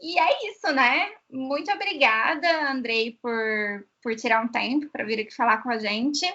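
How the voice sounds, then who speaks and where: A woman's voice: a little high, unhurried, bright, forceful tone, dull audio. A woman with a Brazilian accent chatting casually as a host. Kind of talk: casual chat.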